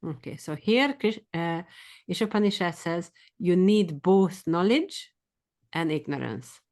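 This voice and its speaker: A woman with a Hungarian accent teaching a scripture study class, quick and eager, sometimes speeding up. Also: lowish voice, expressive, darkish tone.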